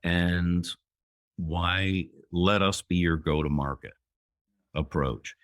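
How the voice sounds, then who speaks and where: A man in his fifties, a guest entrepreneur on an interview podcast, speaking in a measured way with pauses. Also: low voice, no room sound, studio quality. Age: 50s.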